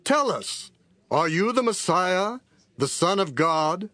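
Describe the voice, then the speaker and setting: Indignant, high-pitched high priest. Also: male voice, big pitch swings, takes it slowly, pushed and bright, no room sound, exaggerated voice. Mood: stern, demanding. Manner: declamatory, imperious. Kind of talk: dramatized scripture audio drama.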